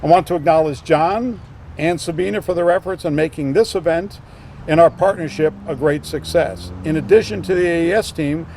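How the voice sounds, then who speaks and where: A man with a slightly high voice reads closing acknowledgments at an outdoor ceremony, formal and steady. Faint street noise sits behind him. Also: moderate pace. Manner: formal, reading a list of names. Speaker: company representative. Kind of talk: reading prepared thank-yous.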